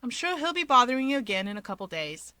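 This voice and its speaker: Young male hero, done by a woman, raised pitch, bright, emphatic, exasperated, placating, story character. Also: sing-song, fast, dry recording. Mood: reassuring.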